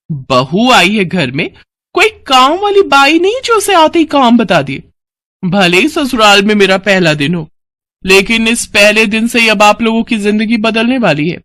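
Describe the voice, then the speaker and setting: Woman acting a haughty, high-pitched young bride. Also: fairly bright tone, no room sound, studio quality. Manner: haughty, cheeky. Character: arrogant, insolent young modern bride, defiant and bossy.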